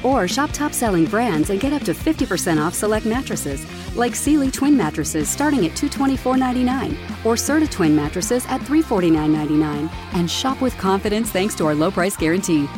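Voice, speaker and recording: A woman's voice: medium pitch, animated, a little fast, few pauses. Woman reading an ad over guitar. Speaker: advertisement reader. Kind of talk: read advertisement.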